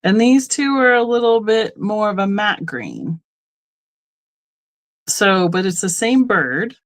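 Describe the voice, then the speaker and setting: A middle-aged woman from Colorado runs a live online sale in a relaxed, chatty way. She wanders into friendly asides and fills the gaps with ums. Her pitch sits in an ordinary middle range. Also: slow pace. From Colorado, United States. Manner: chatty, rambling asides, frequent ums and laughs. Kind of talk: casual live-stream selling chat.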